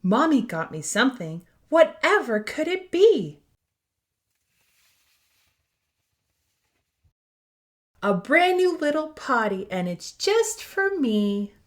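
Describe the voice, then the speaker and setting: A woman voicing an eager, proud toddler from a storybook, with simple, expressive, sing-song delivery. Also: high-pitched, unhurried. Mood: curious, unsure. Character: eager, proud toddler learning to use the potty.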